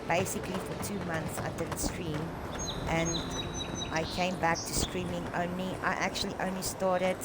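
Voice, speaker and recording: A woman's voice: lowish voice, little pitch movement, normal speed, very noisy. A South African woman whose first language is Afrikaans, chatting casually as a game streamer in a rambling way with many fillers, speaking into a headset. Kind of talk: casual live-stream chat. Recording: voice over loud game music.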